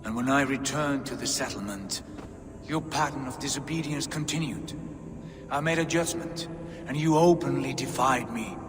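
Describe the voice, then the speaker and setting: An actor playing a stern, proud Viking jarl, speaking in a grave, measured and formal way, as in a dramatic game cutscene. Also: male voice, mid-range voice, natural voice. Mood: reproachful. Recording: long reverb, loud music behind.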